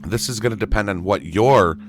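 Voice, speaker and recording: Low-voiced man giving a casual gaming tutorial. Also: a little fast, music in the background. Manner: folksy, addresses viewers as y'all and folks. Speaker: host, gaming tutorial creator. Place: indoors at a gaming computer, voice over screen recording.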